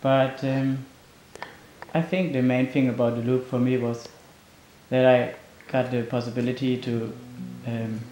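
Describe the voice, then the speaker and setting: A man reflecting in a casual interview, halting and earnest. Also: mid-range voice, level tone, dark tone. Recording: roomy, faint guitar music behind.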